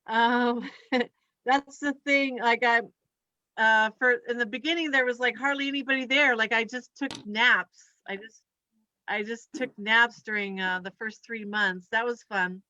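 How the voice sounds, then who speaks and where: A woman performs loose, conversational stand-up in a bright, forward voice. She talks haltingly, drifting between thoughts and giggling at her own remarks. The sound is dry and slightly dull, like a lo-fi recording. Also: fairly high pitch, steady tone, no echo. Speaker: stand-up comedian and chiropractor. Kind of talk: rambling conversational stand-up set.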